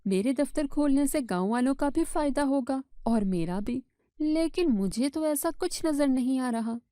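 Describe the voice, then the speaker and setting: A woman's voice: sits high, lively intonation, unhurried, warm tone, dry recording, clean studio sound. A woman voicing an earnest village matchmaker woman in a storybook tale. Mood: worried, puzzled.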